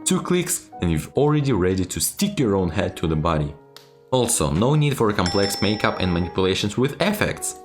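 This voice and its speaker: A man speaks over clear background music. His delivery swings up and down a lot as he hands out sarcastic tips, playful and mock-serious, leaning hard on his key words. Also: fairly low pitch, very animated, normal speed. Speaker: video creator giving sarcastic game-making tips. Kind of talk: tongue-in-cheek video tutorial narration.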